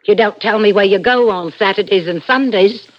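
A fretful working-class wife played by an actress in an old radio suspense play. Her low voice is dramatic and aggrieved as she needles her husband. The sound is dull, like an old broadcast. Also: normal speed, natural voice. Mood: aggrieved, coy. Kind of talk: vintage radio suspense acting.

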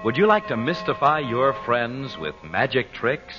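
A man's voice: medium pitch, big pitch swings, unhurried. A man announcing and selling in a polished, salesmanlike 1940s radio style. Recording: dull vintage radio recording with music.

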